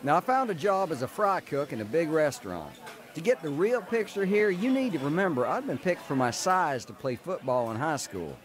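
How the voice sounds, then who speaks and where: An actor playing a tough, plainspoken ex-criminal in a dramatized radio story. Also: male voice, on the high side, very animated, moderate pace. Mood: wry, matter-of-fact. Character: tough male ex-criminal recounting his bootlegging youth. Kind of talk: dramatized first-person narration and dialogue.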